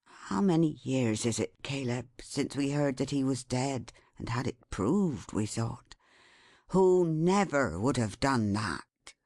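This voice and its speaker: A woman's voice: very deep voice, animated, slow, darkish tone, no room sound, clean studio sound, pitched below the performer's own voice, heavily acted. A woman voicing an honest, plain-spoken carrier in a storybook reading, grave and reproachful. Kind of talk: dramatised classic storybook reading.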